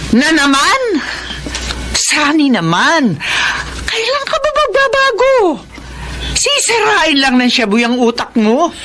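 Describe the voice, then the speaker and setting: A middle-aged lady of the house in a Filipino radio drama, strict yet devoted as a mother. Her voice is on the high side and very animated, emphatic and emotive, with faint noise behind it. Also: slow pace, pauses often, exaggerated voice. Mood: upset, pleading.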